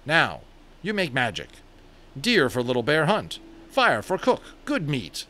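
A man's voice: a little high, huge pitch swings, measured pace, sharp tone, pitched above the performer's own voice, exaggerated voice. A man voicing a proud, terse young warrior who speaks in short broken phrases. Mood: commanding. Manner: broken clipped speech, commanding.

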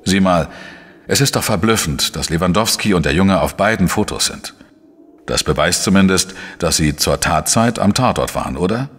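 Male for crime radio play: shrewd, matter-of-fact reporter-sleuth, very low husky voice, reverberant sound. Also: animated, a bit bright, exaggerated voice. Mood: measured reasoning. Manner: measured, explanatory, matter-of-fact. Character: shrewd, dry male investigative journalist, narrating his case. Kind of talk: German crime radio play, narration and dialogue.

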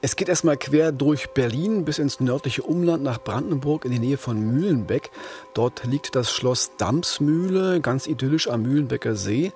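A man's voice: mid-range voice, moderate pace, a little mellow, soft background music. A middle-aged man co-hosting a crime podcast, telling a case in a conversational way. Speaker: crime podcast co-host, reporter. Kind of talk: conversational true-crime storytelling.

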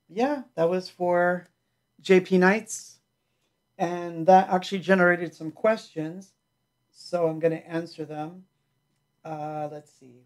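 A middle-aged man hosting a livestream from his small home music studio, chatting loosely and joking while he answers viewer questions. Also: sits high. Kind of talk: casual livestream chat. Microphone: headset mic.

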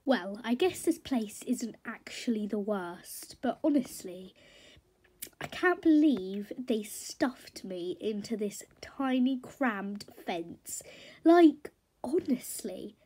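A woman voicing a spoiled, dramatic, easily offended mare in a children's horse story, with snobbish, drawn-out, indignant complaints. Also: fairly high pitch, animated, a little mellow, husky, full character voice, low-quality recording. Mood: sulky, annoyed. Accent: put-on accent unlike the other characters'.

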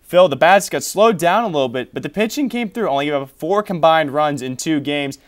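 A man's voice: a little high, expressive, brisk. Young man hosting a college sports show. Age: young adult. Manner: reads scores and stats, then hands off questions. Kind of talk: college sports studio talk. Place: small TV studio.

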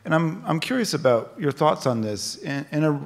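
A man in his forties moderating a stage conversation at a book festival, asking curious, halting questions into a stand mic. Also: a little high, sing-song. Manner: halting, many fillers and restarts, curious. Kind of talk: onstage literary conversation. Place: theater stage at a book festival, live audience.

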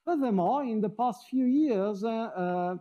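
A man's voice: sits high, lively intonation, measured pace, dull audio. A middle-aged man from Switzerland, giving an academic seminar talk in a lecture hall, wry and self-deprecating, often rephrasing mid-sentence.

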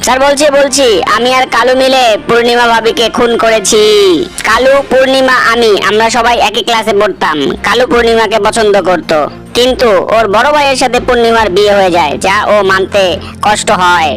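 A woman's voice: a little high, brisk, a bit bright, soft background music, lightly acted, poor audio. A woman voicing a young murderess in a cartoon, speaking in an explanatory, narrative way. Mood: confessing. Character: young female murderer confessing her scheme. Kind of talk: cartoon voice acting.

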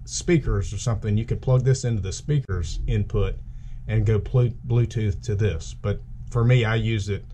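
A man's voice: lowish voice, moderate pace, mellow. A man giving a casual, unscripted product demonstration as a video host, plain-spoken and a little hesitant as he thinks aloud. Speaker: gear reviewer, video host. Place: small room indoors at a tabletop. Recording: clearly audible background noise.

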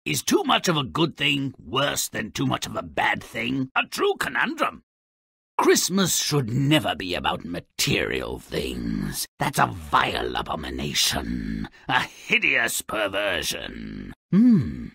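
Grumpy mischievous creature, video game narrator, gravelly, forceful sharp edge, slow relish, sardonic, theatrical. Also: male voice, fairly low pitch, wildly swooping pitch, no room sound, clean studio sound, exaggerated voice. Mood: cynical musing. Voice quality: gravelly, raspy. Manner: mocking, grandiloquent, relishing misfortune.

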